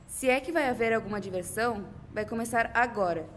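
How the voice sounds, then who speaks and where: Radio drama adventuress, female, fairly high voice, urgent and take-charge, acted by an amateur girl, noisy recording. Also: brisk, natural voice. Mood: excited, urgent. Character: brisk, reassuring young woman adventurer on the run.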